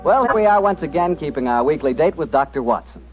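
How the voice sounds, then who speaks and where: A man's voice: fairly high pitch, animated, fast, music in the background. An American man announcing in polished 1940s radio style, with emphatic, friendly sponsor patter. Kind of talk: 1940s radio announcing, scripted sales pitch. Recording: dull, rough vintage 1940s radio broadcast.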